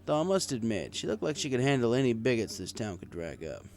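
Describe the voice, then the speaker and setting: A man's voice: medium pitch, very animated, fast, darkish tone. A man reading a story aloud in the first person as a bartender narrator. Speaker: audiobook reader. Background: music.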